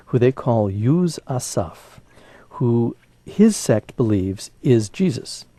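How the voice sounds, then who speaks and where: A man's voice: lowish voice, mellow, breathy edge, dry recording. A man giving background commentary in an informal, conversational, explanatory way.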